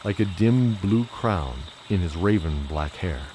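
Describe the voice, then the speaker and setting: A man's voice: low-pitched, expressive, unhurried, noisy background. A man playing a solemn old holy knight who narrates his own tale in an audio drama. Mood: solemn, reflective. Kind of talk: first-person dramatic storytelling. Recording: background music throughout.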